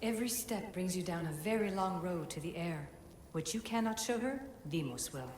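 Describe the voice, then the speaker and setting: Woman as ancient oracle-like spirit, low-set female voice in long reverb, solemn and commanding, formal video game delivery. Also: animated, darkish tone, a little hiss or hum, close to an everyday voice. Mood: solemn, testing. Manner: oracular, measured, formal. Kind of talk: dramatic game cutscene acting. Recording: echoing long reverb, music behind.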